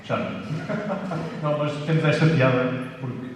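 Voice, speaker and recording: A man's voice: mid-range voice, moderate pace. A man chatting and teasing between songs at a live concert. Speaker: band member introducing songs. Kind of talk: casual joking stage banter. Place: live concert room with an audience. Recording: echoey hall from far off, faint noise.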